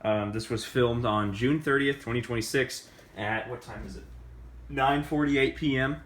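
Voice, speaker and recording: A man giving a casual, unscripted how-to demonstration, halting and often correcting himself as he talks. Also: mid-range voice, fairly bright tone. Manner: halting, self-correcting delivery. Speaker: host of a hobbyist demonstration video.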